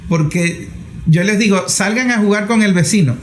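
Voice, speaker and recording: A man's voice: high voice, normal speed. A middle-aged man interviewing conversationally and sharing personal anecdotes. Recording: echoey room with faint noise.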